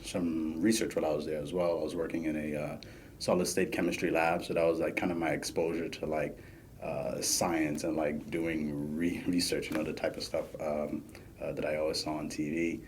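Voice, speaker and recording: A man, a podcast guest talking casually with many pauses and fillers. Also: very deep voice, a little hiss or hum. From Bahamas. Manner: relaxed, many fillers while thinking. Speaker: podcast guest, PhD student. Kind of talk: casual interview chat.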